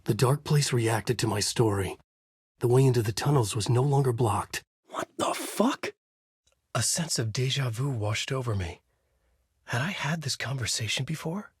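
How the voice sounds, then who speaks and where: An actor playing a haunted, brooding writer trapped in a nightmare, in an intense, dramatic horror game delivery with a husky edge. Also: male voice, mid-range voice, expressive, moderate pace, dry recording, studio quality. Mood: alarmed. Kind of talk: psychological horror game dialogue and brooding narration.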